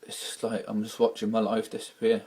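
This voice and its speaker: A man from Wales, speaking in a halting, emotional video diary while distressed and close to tears. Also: fairly low pitch, completely flat delivery, a little mellow, slightly rough. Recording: old archival video clip.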